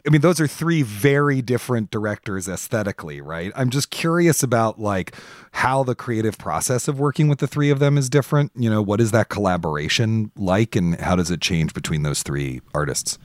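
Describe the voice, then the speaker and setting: Low-voiced man interviewing, dry sound. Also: lively intonation, fairly quick, no room sound. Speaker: podcast host interviewing a guest. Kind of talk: conversational film interview.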